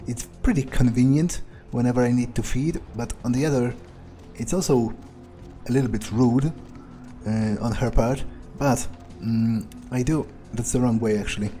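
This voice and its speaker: Mellow, musing man over faint music. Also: medium pitch. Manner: musing, hesitant, thinking aloud. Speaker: game player role-playing his character. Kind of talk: in-character let's play commentary.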